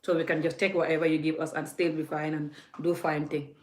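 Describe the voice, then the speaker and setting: A woman with a fairly low voice delivers a heated vlog rant, scolding and forthright, talking straight to her viewers. The room around her rings with a noticeable echo. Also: level tone, a little fast. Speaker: vlogger. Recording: echoey room.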